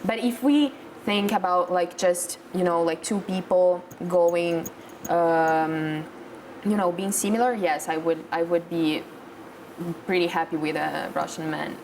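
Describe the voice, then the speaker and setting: Woman, young, Italian accent, low pitch, hesitant second-language English, conversational interview, noisy background. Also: fairly even intonation, a little slow, darkish tone. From Italy. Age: young adult. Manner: hesitant, searching for words in non-native English. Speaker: talk show guest.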